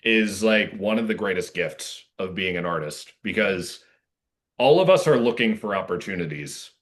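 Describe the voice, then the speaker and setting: A man giving earnest, casual interview answers, thoughtful and halting, with deliberate stresses on his words. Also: fairly low pitch, lively intonation, a bit bright. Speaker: playwright guest.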